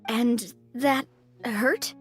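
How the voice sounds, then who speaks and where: An actress playing a cheerful, curious young woman in a video game, friendly, tactful and expressive. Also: fairly high pitch, very slow, faint music behind. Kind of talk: scripted video game dialogue.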